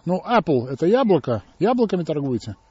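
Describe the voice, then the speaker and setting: Guy chatting on a dull lofi phone line, highish voice, kidding around playing dumb. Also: very animated, a little fast, mellow, breathy edge. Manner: playful, feigning ignorance. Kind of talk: casual joking phone conversation. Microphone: telephone.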